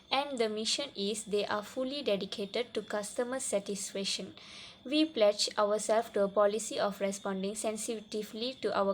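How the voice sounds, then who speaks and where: A woman's voice: medium pitch, a little hiss or hum. A young woman, a student, evenly reading a prepared company report aloud. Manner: reads evenly from a script. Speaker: student presenter.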